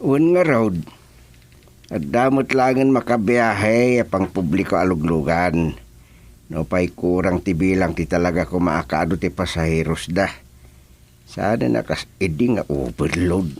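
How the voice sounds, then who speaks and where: A man's voice: deep voice, a little slow, darkish tone. An actor playing a proud married village chairman who has come into money, in an Ilocano radio drama-comedy, with an Ilocano accent. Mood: explaining.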